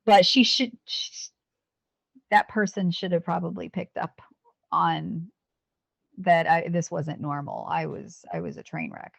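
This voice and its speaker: Woman, 50s, police officer and veteran; earnest, halting interview talk, lowish voice, dry recording. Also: animated, vocal fry, dry recording. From United States. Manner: earnest, halting, with frequent restarts.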